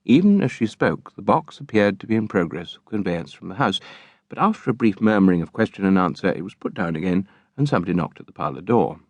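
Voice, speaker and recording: A man narrating a literary audiobook in a measured, theatrical, expressive style. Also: low voice, no room sound.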